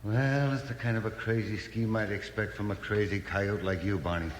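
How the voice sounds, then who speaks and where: A man's voice: on the low side, steady tone, normal speed, natural voice. An actor playing a wary, sardonic outlaw henchman in a 1950s radio Western, tough and complaining. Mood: skeptical, threatening. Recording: reverberant cave effect, old noisy radio recording.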